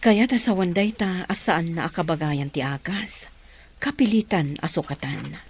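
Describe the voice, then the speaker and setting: A woman voicing a calm professional doctor in a radio drama, explaining things steadily. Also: on the low side, moderate pace, slightly rough. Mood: explanatory. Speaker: doctor character. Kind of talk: Ilocano radio drama acting.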